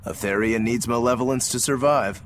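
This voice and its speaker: A man's voice: mid-range voice, moderate pace, slight background noise, natural voice. A man playing a cocky, nosy, teasing fighter in a video game, with a casual, insinuating manner. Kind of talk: English video game voice acting.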